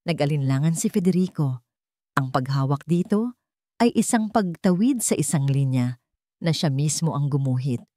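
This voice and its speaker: A woman storyteller with a fairly low voice reads scripted romantic drama. She delivers it as dramatic narration. The sound is dry, with no room around the voice. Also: animated, normal speed, warm tone, dry recording.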